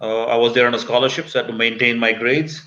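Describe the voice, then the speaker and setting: A man in his fifties from Kuwait talks as an interview guest in a bright, forceful voice. He recounts his experiences conversationally. The sound is a slightly dull video call. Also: mid-range voice, flat delivery, quick pace. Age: 50s. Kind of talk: conversational personal storytelling.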